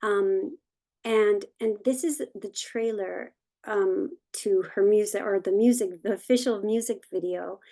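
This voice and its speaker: A woman presenting casually in an online meeting. Her voice is soft-edged and mellow, and she speaks slowly, with a hesitant, halting delivery. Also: medium pitch, vocal fry. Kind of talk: casual introduction on a video call.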